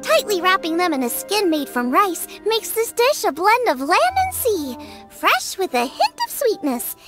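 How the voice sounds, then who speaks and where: A woman voicing a bubbly, sincere young girl chef in a video game, upbeat, reassuring and expressive. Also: very high voice, unhurried, over music, exaggerated voice. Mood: proud, explanatory. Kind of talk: video game cut-scene voice acting.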